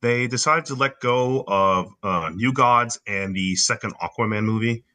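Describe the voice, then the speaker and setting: Haitian-accented male podcast co-host, bright forceful tone, eager explainer. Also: medium pitch, a little slow. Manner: enthusiastic, explains at length. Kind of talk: casual chat.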